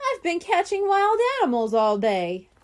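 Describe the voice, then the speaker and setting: A woman's voice: very high voice, big pitch swings, normal speed, voice pushed up. A woman reading aloud while voicing a dramatic, complaining young boy in a children's storybook. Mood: excited.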